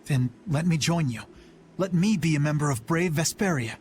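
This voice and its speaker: An actor playing an earnest, dutiful young knight captain in a video game, speaking formally and with solemn restraint. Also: male voice, on the high side, moderate pace, a little mellow, a little hiss or hum, lightly acted. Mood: resolute. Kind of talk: English video game voice acting.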